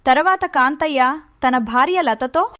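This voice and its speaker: A woman's voice: high voice, measured pace, a bit bright. A woman narrating a scripted moral story for children.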